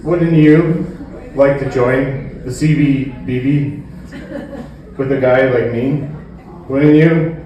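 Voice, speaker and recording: A man doing a stand-up comedy routine on stage, with a halting, rambling delivery. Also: mid-range voice, fairly even intonation, highs cut off. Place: indoor comedy venue stage. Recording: echoey room, distant mic, faint noise.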